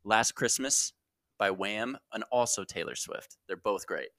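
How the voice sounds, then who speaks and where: Young adult man, podcast co-host; easygoing, hesitant, low voice, dry close sound. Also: expressive, a little slow, sharp tone, dry recording. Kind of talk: casual chat.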